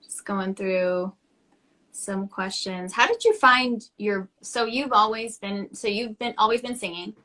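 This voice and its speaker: Bright, upbeat woman interview host. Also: medium pitch, a little slow. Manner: upbeat, enthusiastic reactions. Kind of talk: casual live-stream interview.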